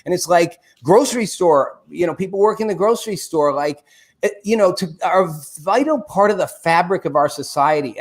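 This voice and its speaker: Chatty middle-aged male host. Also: fairly high pitch. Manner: chatty, animated, loose talk with fillers. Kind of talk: live show interview. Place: home studio. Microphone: desk studio mic.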